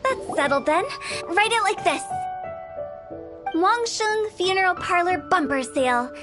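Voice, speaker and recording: A woman's voice: very high voice, animated, measured pace, music in the background. A woman voicing a mischievous, eccentric young female funeral director in an anime game, playful, breezy and theatrical. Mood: gleeful.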